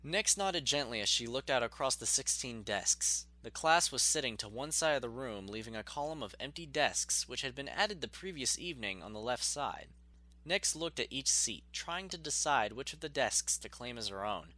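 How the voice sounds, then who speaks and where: A man's voice: medium pitch, lively intonation, moderate pace, bright, forceful tone. A man narrating a scripted story expressively, in the manner of an audiobook reader.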